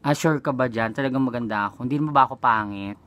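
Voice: medium pitch, fast talker, muffled recording. A Filipino vlogger with a playful, giggly way of talking, chatting casually while making prank phone calls.

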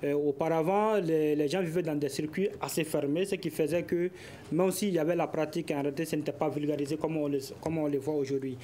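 A young man, a guest expert on a studio panel, explaining in a measured way with frequent hesitations. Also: on the high side, fairly even intonation, dark tone, slight background noise. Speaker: researcher guest. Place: television studio.

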